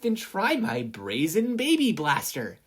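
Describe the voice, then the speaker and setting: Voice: mid-range voice, wildly swooping pitch, takes it slowly, slightly rough, voice pushed up, heavily acted. A narrator voicing a brash, boastful teenage girl inventor in a showy, salesy manner for a fan fiction reading. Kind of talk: podfic character voice.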